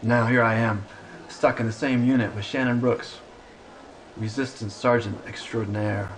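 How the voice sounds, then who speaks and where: A man's voice: mid-range voice, steady tone, moderate pace, a little hiss or hum, natural voice, rough recording. An actor playing a mild, rueful male clerk turned soldier, wry and rambling, in a video game cutscene.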